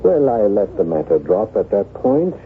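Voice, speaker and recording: A man's voice: on the low side, sing-song, normal speed. An actor playing a troubled French nobleman and devoted husband in a formal, earnest manner, in 1970s radio drama style. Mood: grave, hesitant. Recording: tinny narrow-band old radio, faint noise.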